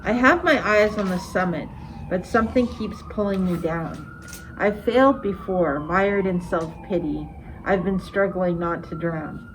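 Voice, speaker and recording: A woman's voice: fairly low pitch, unhurried, slight background noise, low-quality recording. A middle-aged woman, an amateur poet, reading a confessional poem aloud.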